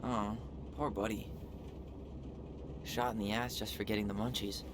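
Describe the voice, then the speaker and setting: A man's voice: fairly low pitch, little pitch movement, unhurried, lightly acted. A man acting an earnest, wry young American man in naturalistic, understated video game dialogue. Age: young adult. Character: earnest, dryly joking young man, passionate about wildlife. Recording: game stream with clear background noise.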